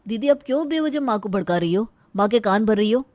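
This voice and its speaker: Woman playing earnest young grown-up in a cartoon, soft dark tone, protesting, no echo. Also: medium pitch, animated, fast talker, natural voice. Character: earnest young adult defending a love match. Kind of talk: Hindi moral-story cartoon voice acting.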